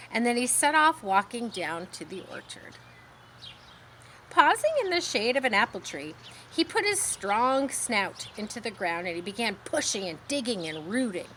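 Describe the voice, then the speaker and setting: A woman reading a children's story aloud expressively, pausing to explain words in simple asides. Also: on the high side, a bit bright, slight background noise. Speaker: storybook reader.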